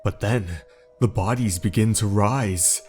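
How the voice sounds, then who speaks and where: A man narrating a horror story in a slow, dramatic, brooding way. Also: fairly low pitch, a little mellow, faint music behind.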